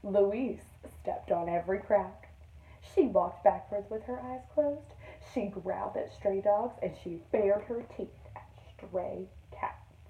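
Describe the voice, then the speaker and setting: A woman teacher reading a picture book aloud to young children in an expressive storytelling manner. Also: medium pitch, slow, phone-quality sound. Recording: roomy echo, distant microphone.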